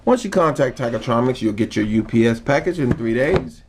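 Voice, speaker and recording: A man giving an informal how-to demonstration, explaining step by step in a halting manner. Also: mid-range voice, lively intonation, noisy background. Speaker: hobbyist demonstrator. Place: indoor room.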